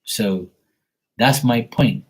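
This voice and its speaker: A man's voice: medium pitch, extremely slow, breathy edge. A man from Bermuda, hosting a live stream, talking bluntly and profanely in a rambling casual rant. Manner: blunt, profane, rambling, acts out quoted voices.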